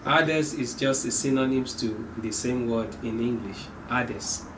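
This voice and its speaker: A man's voice: mid-range voice, unhurried. Earnest Nigerian-born man, noisy background. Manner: earnest, hesitant with many ums. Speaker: company owner. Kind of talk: interview answers.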